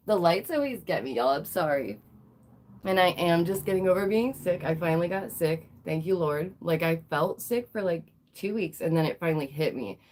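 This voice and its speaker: A woman's voice: on the low side, expressive, normal speed, darkish tone, a little hiss or hum. Stuffy, nasal woman livestreamer. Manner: emphatic, repeats phrases, fires rhetorical questions. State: stuffy, recovering from a cold. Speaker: livestream host. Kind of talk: live news commentary.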